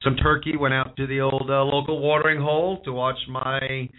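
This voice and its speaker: Hoarse male host, opinionated and rambling, mid-range voice, lo-fi phone-quality audio. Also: medium pitch, flat delivery, moderate pace. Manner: opinionated, rambling, repeats himself. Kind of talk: call-in wrestling talk show monologue.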